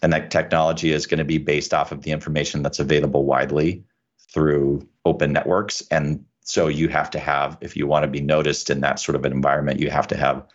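Very deep-voiced man on dull audio. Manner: candid, self-deprecating. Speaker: lawyer and podcaster. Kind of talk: casual conversation. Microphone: USB microphone into a computer.

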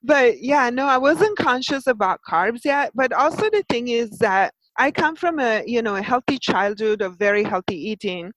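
A woman's voice: fairly high pitch, lively intonation, moderate pace, few pauses, fairly bright tone, no echo, poor audio. An Italian-accented woman in her forties, a guest in a casual interview, talking animatedly with fillers and frequent laughter. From Italy. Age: 40s.

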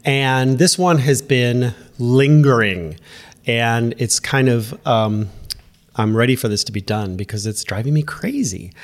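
A man of ordinary pitch talks through a solo podcast in a relaxed, conversational way. His voice is nasal and stuffed up from a head cold. He speaks straight to the listener and keeps checking in as he goes. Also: unhurried. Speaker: podcast host and running coach. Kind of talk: casual solo podcast talk.

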